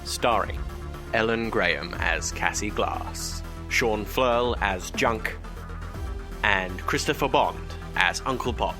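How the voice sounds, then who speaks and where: A man delivers scripted intro credits as a podcast announcer. His voice sits fairly low and moves slowly and deliberately, with music playing clearly behind him. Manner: measured, announcer-like.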